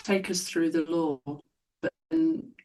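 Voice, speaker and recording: A woman's voice: on the low side, big pitch swings, slow pace, a little mellow. A woman co-hosting a podcast, responding in a hesitant, appreciative, conversational way. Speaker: podcast co-host. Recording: distant from microphone.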